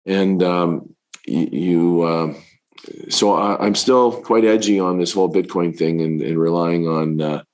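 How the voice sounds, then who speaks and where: Deep-voiced man, hoarse from illness. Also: steady tone, darkish tone. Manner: halting, many fillers and restarts. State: recovering from covid, voice deepened. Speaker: fund manager guest. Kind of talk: interview answers.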